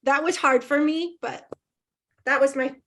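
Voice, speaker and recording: A middle-aged woman from Indiana, a podcast guest chatting casually, rambling and laughing easily in a loud voice. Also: sits high, fairly even intonation, a little slow. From Indiana, USA. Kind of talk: casual interview chat.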